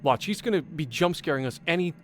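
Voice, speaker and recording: A man streaming a game with casual, excitable commentary, often repeating himself. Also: on the high side, fast talker. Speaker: gaming streamer.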